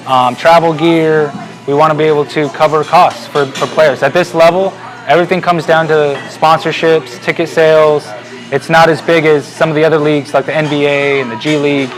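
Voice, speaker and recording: Man presenting, slightly high voice. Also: rough recording. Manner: relaxed, filler-heavy presenting. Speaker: team owner. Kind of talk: promotional sponsor segment.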